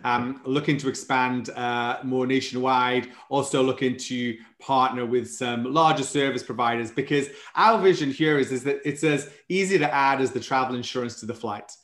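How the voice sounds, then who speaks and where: A man's voice: mid-range voice, moderate pace, hardly any pauses, a bit bright. A man with a Welsh accent, a startup founder speaking as an interview guest, upbeat and fluent as he explains his business. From Wales. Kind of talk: casual interview chat. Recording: roomy echo.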